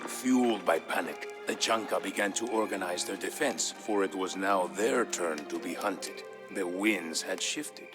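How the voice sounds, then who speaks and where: An actor playing a male narrator who recounts an old legend in a measured, scripted storybook manner for a video game. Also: low voice, music in the background. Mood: solemn storytelling.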